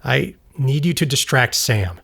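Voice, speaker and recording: A man acts out a troubled, earnest man in an audio drama. His voice sits at an average male pitch, and he speaks sincerely and intensely, as if asking to be trusted. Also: expressive, vocal fry, a bit bright, breathy edge, exaggerated voice. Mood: earnest, uneasy. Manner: sincere, intense, halting appeals.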